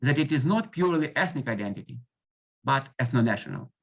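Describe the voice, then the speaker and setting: A man giving an academic lecture over a video call, speaking English as a second language with Ukrainian as his first, halting often with fillers and restarts. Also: mid-range voice, expressive, fairly bright tone. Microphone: computer mic on a video call.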